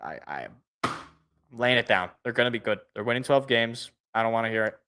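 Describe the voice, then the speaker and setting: A man with a loud, worn-out voice talks sports in casual podcast chat. He gets excited and trips over repeated words, his tone bright and his pitch swinging widely. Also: a little fast, clear, resonant tone. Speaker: podcast co-host.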